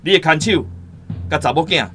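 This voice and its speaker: A man's voice: fairly high pitch, moderate pace, pushed and bright, soft background music. Defiant young Taiwanese rebel man. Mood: defiant, threatening. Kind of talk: Taiwanese radio drama acting.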